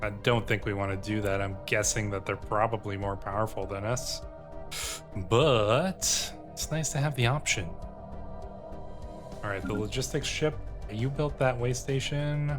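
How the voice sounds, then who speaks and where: A man's voice: fairly low pitch, measured pace. A man giving casual gameplay commentary, thinking aloud tentatively and sometimes reading game text out. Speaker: video game streamer and host. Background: game music.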